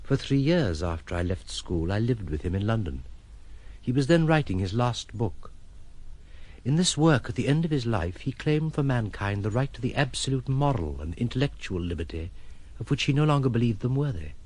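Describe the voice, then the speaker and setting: An actor playing a courteous, detached, melancholy gentleman wanderer in an audio drama, formal and reflective in manner. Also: mid-range voice, sing-song, normal speed. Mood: melancholy reflection. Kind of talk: audio drama acting, first-person reminiscence.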